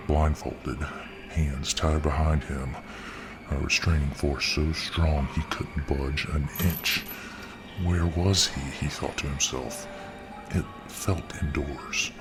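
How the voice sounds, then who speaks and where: Extremely deep slow male storyteller. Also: low-quality recording. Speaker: narrator. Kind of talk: ASMR audio fiction narration. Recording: narration over clear music.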